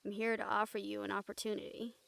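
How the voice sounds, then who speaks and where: A woman playing a wry, gentle recruiter of supernatural outcasts in an intimate audio roleplay, teasing and earnest in manner. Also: medium pitch, little pitch movement, fast, gravelly. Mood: wry, calm.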